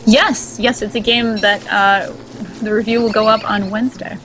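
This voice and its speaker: A woman's voice: mid-range voice, fairly even intonation, normal speed, poor audio. A woman with a Boston accent, co-hosting a casual game stream, joking and laughing often as she explains game lore. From Boston area. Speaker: co-host. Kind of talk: casual stream chat over gameplay.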